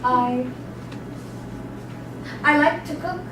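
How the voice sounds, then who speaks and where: An actress playing a stoic, dutiful female servant in a dramatic stage reading, giving terse, restrained, deadpan answers. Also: high voice, extremely slow, recorded from a distance. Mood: weary, guarded. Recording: echoey room from afar, noisy.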